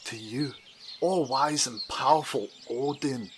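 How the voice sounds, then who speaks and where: A man playing a gruff Norse mythic figure in a fantasy audio drama, gravelly and theatrical with archaic phrasing. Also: mid-range voice, takes it slowly, noisy background, natural voice. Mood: proud. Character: gruff male Norse mythic warrior and dwarf.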